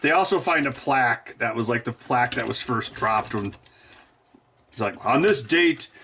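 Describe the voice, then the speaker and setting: A man's voice: mid-range voice, moderate pace, sharp tone, slight background noise. A man hosting a live stream, rambling casually and joking, laughing often.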